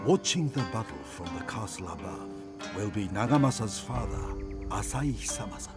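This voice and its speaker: A man's voice: lowish voice, lively intonation, moderate pace, lightly acted. A man voicing a solemn chronicler of samurai warfare in scripted historical game cutscene narration. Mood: solemn, measured. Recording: music under the voice. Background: loud background music.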